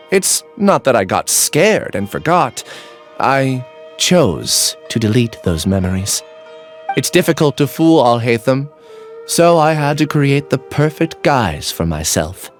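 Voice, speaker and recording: Resigned young researcher, earnest, calm, varied pitch, faint game music. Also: male voice. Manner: calm, earnest, explaining himself. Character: quiet young male researcher resigned to joining a hive mind.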